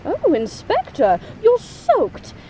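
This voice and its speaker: A woman playing a fussy pony housekeeper in an audio drama, nagging in a drawn-out, motherly way. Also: very high voice, huge pitch swings, dark tone, heavily acted. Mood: fussing. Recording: noisy background.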